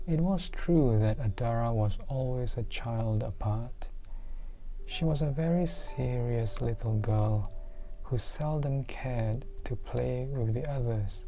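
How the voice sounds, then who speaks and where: A soft-spoken reader giving an ASMR storybook reading, hushed and deliberate, pausing often between phrases and slipping into near-whispers. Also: medium pitch, a little hiss or hum, low-quality recording. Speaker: book reader.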